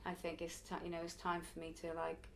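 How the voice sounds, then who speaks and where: Woman in her forties, lowish voice, chatty and reflective, faint background hiss. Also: completely flat delivery, fairly quick, gravelly, fairly bright tone. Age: early 40s. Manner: chatty, reflective, laughs now and then. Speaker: circus performer and youth circus teacher. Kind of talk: casual interview answers.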